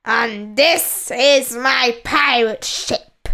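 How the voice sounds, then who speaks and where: Fierce male pirate boss played by a woman, commanding, growly, slow, for a children's tale. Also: on the high side, vocal fry, bright, forceful tone, exaggerated voice. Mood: menacing. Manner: growling, bellowing, drawn-out commands. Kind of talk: children's storybook character voice.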